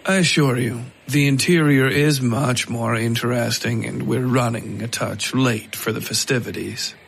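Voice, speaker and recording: A man voicing a polite, assured lord of a mansion in a dark fiction story, with courteous, deflecting speech. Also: mid-range voice, steady tone, normal speed. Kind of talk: audiobook-style character dialogue. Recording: clear background noise.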